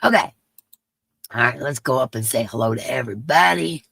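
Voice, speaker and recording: A woman with a raspy voice hosting a casual livestream in her yarn room, greeting chat viewers by name in a sing-song way and sounding emotional. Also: extremely low pitch, lively intonation, a little fast, a bit bright, no echo, studio quality. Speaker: livestream host.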